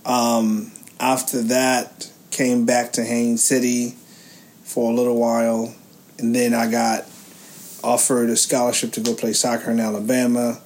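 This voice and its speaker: A man's voice: mid-range voice, monotone, a little slow, a little mellow. Goofy, rambling man co-host from Haiti. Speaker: podcast co-host. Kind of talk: casual chat.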